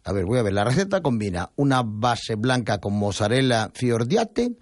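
A man's voice: medium pitch, big pitch swings, normal speed, no echo, clean studio sound. A man hosting a radio show, chatting and reading news with many fillers and restarts. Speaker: radio host. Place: radio studio.

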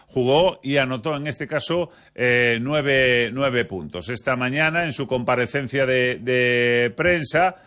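A man with an accent from Spain presenting a sports news bulletin on the radio, with a halting, hesitant delivery. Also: mid-range voice, fairly bright tone. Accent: Spanish, from Spain.

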